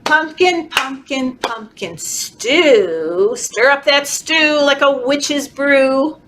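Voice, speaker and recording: A woman leading a children's story time, reading aloud in an animated, encouraging, sing-song way, as if talking to toddlers. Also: sits high, drawn-out words. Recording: clearly noisy background.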